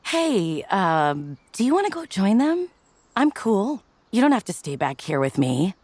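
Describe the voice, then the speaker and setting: A woman's voice: medium pitch, sing-song, unhurried, darkish tone, no echo. A woman voicing an easygoing, frank and supportive woman in a motion comic, with casual, slangy phrasing. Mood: concerned.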